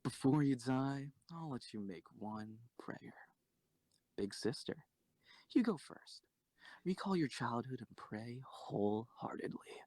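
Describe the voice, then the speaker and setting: A man's voice: medium pitch, animated, takes it slowly, darkish tone, no room sound. A man acting a cruel, mocking, foul-mouthed soldier executioner in an audio drama, taunting and theatrical. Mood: mocking menace.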